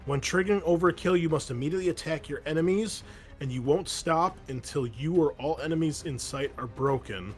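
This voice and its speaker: A man's voice: on the high side, fairly quick. A man running a tabletop roleplaying game as game master, explaining rules and narrating with frequent fillers and restarts.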